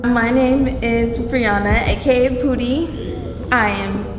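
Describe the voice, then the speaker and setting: A woman of thirty, a teacher, introducing herself slowly in an interview. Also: a little high. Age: 30s. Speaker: teacher, gym member. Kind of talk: casual interview answers. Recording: echoey hall with music behind.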